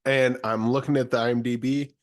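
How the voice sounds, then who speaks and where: A man's voice: mid-range voice, level tone, a little fast, no echo, studio quality. A man co-hosting a movie podcast over a video call, talking in a hesitant, casual way with many restarts. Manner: hesitant, lots of fillers and restarts. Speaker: podcast co-host.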